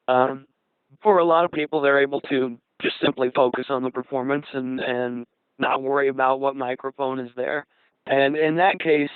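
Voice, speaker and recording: A man speaking as a panel guest in a measured conversational way, carefully qualifying his points, heard through a narrow radio transmission. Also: mid-range voice, smooth, no echo.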